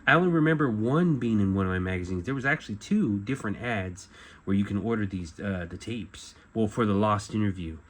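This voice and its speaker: A middle-aged man giving a casual show-and-tell as a collector, talking in a halting, rambling way and often correcting himself. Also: lowish voice, expressive. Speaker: collector presenting memorabilia. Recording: clear background noise.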